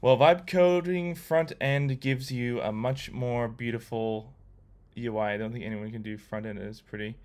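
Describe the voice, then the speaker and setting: A young man on a laid-back coding livestream, talking loosely to his chat with plenty of ums and restarts. His voice sits at an ordinary middle pitch, and keyboard typing is heard behind him. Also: moderate pace. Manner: relaxed, rambling, reads chat aloud with many fillers. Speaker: livestreamer learning to code.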